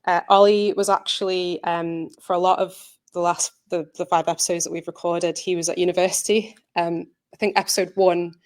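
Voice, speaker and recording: A woman presents informally in a slightly low voice. Her intonation stays very level, and she speaks in an earnest, hesitant way, like a producer talking through her project. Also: flat delivery, a little fast. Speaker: audio drama producer presenting.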